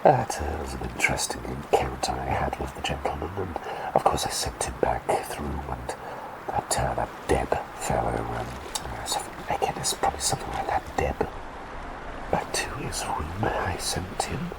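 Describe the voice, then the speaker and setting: A man voicing a quirky, kindly male bird plague doctor in whispered ASMR roleplay, rambling and halting at times, then soft and reassuring. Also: a bass voice, lively intonation, gravelly, full character voice, rough recording.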